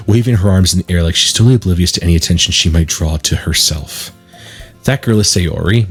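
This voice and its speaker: A man's voice: low-pitched, slightly rough. A man hosting a let's play, chatting and explaining casually and reading game text aloud.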